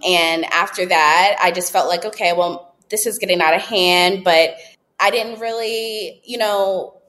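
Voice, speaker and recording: A woman in her late twenties telling her story as a podcast guest, candid and relaxed, with frequent ums and likes. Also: on the low side, unhurried. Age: 20s. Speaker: podcast guest, app founder. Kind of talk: casual interview storytelling.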